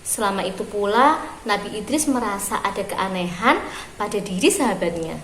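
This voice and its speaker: A woman reading a story aloud for young children, phrasing expressively and deliberately with pauses. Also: mid-range voice, expressive, fairly bright tone. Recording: roomy echo with clear background noise.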